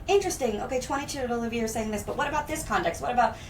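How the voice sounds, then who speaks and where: A woman in her thirties talking in casual, expressive chat as a podcast guest. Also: a little high, rapid-fire. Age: 30s. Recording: distant mic, background noise.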